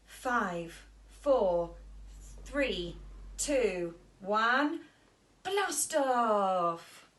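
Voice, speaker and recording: Slow, animated woman reading a children's story. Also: mid-range voice.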